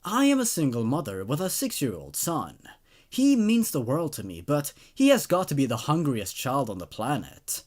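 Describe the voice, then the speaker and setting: Man reading as an exasperated mother, animated testimonial. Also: on the high side, normal speed. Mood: worried, then grateful. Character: exasperated mother giving a glowing infomercial testimonial.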